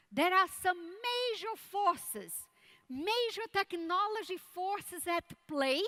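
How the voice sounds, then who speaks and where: A woman from Brazil with a Brazilian Portuguese accent, whose first language is Portuguese, giving a conference keynote speech with measured phrasing and dramatic pauses. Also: sits high, pushed and bright. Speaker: keynote speaker.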